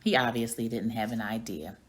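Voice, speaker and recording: A woman reading a children's story aloud in an expressive storytelling manner. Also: rumbling low, fairly quick. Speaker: reading coach or teacher reading aloud.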